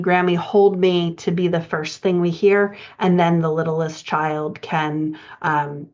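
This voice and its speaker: A woman coaching writers in an explanatory lecture style, speaking haltingly with pauses as she thinks through her points. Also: on the low side, darkish tone. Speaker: writing coach presenting a podcast lesson. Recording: dull, band-limited audio.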